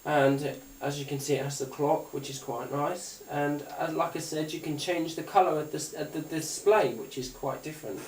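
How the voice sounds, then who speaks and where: A roomy, distant recording with faint noise carries a man with a slightly high voice. He talks casually as he demonstrates a gadget, unscripted and hesitant. He rambles and circles back over his own phrases. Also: steady tone, normal speed, few pauses. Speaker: gadget review YouTuber. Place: indoors at a tabletop, away from the mic. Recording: roomy, distant mic, faint hiss and clicks.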